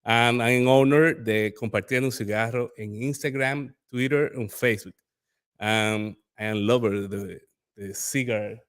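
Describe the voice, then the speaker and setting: Mid-pitched man speaking plainly. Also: steady tone, normal speed, clean tone. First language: Spanish. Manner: simple, plain phrasing. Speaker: lawyer. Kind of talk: casual self-introduction.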